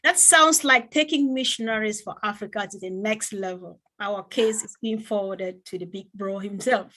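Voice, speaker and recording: A woman's voice: mid-range voice, moderate pace, sharp tone, rough recording. A woman moderating an online meeting over a video call, polite and pleading, thanking speakers often.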